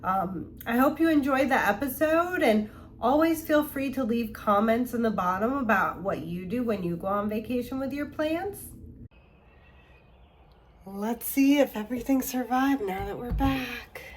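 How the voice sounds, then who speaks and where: Slow-talking congested woman vlogger. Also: a little high, a little hiss or hum. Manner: relaxed, chatty, walking through rooms with fillers. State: congested from a cold. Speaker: plant channel host. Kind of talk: casual home-tour vlog. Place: home, moving between rooms.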